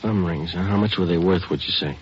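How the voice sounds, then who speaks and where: An actor playing a methodical, matter-of-fact police sergeant who questions and narrates tersely in a vintage radio procedural. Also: male voice, sits low, brisk, slightly rough. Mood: polite, probing. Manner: deadpan, terse, businesslike. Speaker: lead detective and narrator. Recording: muffled vintage radio recording with music.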